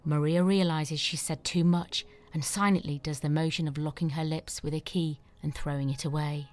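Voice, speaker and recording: A woman reads the stage directions of an audio drama with a notably low, even voice. Her reading is steady and measured. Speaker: narrator.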